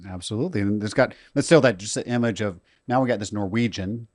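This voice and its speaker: Host guy, lowish voice, keeps asking the guest stuff, dry close sound. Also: fast talker, no room sound. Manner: prompting questions, conversational. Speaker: podcast host. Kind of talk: interviewing.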